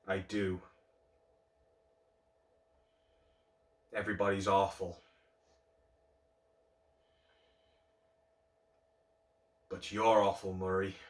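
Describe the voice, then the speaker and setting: A man performing a dramatic monologue as an embittered, unhinged outcast, theatrical and aggrieved as he rants at a talk-show host. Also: exaggerated voice. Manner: theatrical, aggrieved, swinging from shouting to low seething. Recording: roomy echo, distant microphone.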